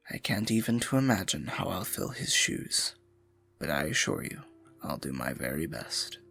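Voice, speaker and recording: Scheming fantasy royal advisor, male actor: gravelly, highly animated, intimate and patronizing, with soft music underneath. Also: full character voice. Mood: feigned sympathy. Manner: silky, condescending, mock-sympathetic, often dropping to a murmur. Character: smooth-talking scheming male royal advisor, falsely sympathetic. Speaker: voice actor in an audio roleplay. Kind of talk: fantasy ASMR roleplay acting, intimate and theatrical.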